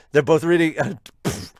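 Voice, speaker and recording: A man hosting a manga review, giving casual read-along commentary with amused, excitable asides. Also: a little high, no echo, studio quality. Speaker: host of a manga review podcast.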